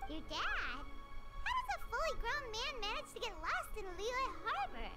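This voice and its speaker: Tiny fairy girl, super high and chirpy, swoops around, game music. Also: very animated, normal speed. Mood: perky, surprised. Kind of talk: video game voice acting.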